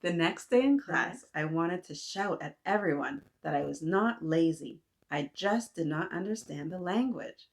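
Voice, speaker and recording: A woman, reading a children's book aloud and gently pausing to talk with young listeners. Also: on the low side, expressive. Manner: gentle, asks kids to reflect, frequent "right?". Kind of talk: read-aloud storytelling for kids. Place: indoors, small room with painted mural.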